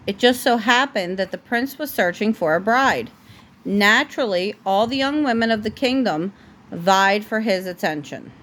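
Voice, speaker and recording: A woman's voice: medium pitch, fairly bright tone. A woman reading a storybook aloud as a parent at home, with casual asides and the odd stumble over words. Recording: noisy home recording.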